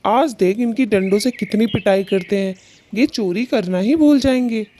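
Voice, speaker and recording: A woman voicing an earnest, protective male bird husband in a children's cartoon, expressive in delivery. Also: medium pitch, moderate pace, slight background noise, natural voice. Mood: angry. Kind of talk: children's cartoon story acting.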